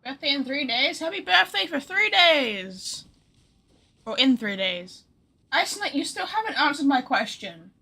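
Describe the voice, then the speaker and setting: A woman's voice: sits high, big pitch swings, measured pace, gravelly, pushed and bright. A woman livestreamer chatting casually and reacting to a game in an informal, teasing way. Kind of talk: casual stream chat, reacting to game dialogue.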